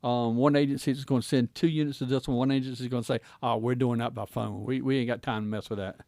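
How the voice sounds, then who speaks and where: A man's voice: medium pitch, steady tone, fast talker, darkish tone, no room sound. A middle-aged American man hosting a casual interview, folksy and colloquial, putting probing questions to his guest. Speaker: guest host, former law enforcement officer.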